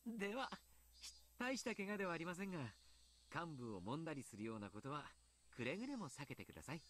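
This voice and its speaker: An actor voicing a mild, polite, teasing doctor in a Japanese visual novel, speaking in a courteous, measured manner. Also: male voice, a little high, sing-song, a bit bright, slight background noise. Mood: calm, reassuring. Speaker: doctor and baseball coach character.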